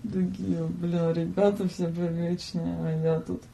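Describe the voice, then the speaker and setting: A young woman in casual studio chat as a podcast guest, brash and teasing, swearing freely and quick to raise her voice. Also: lowish voice, little pitch movement, creaky, mellow. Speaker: podcast guest and camera operator.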